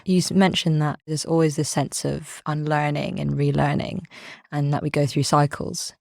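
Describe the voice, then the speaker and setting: A woman hosting an interview podcast, reading a formal guest introduction and asking reflective questions in a measured way. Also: low voice, flat delivery, gravelly, a little mellow, dry recording, studio quality. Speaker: podcast host. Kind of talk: interview podcast, scripted intro then conversation.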